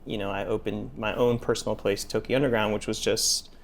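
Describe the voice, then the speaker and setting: Gravelly deadpan man. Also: lowish voice, fairly quick. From Taiwan. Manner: deadpan, relaxed, lots of fillers. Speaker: chef and restaurateur guest. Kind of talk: casual interview chat.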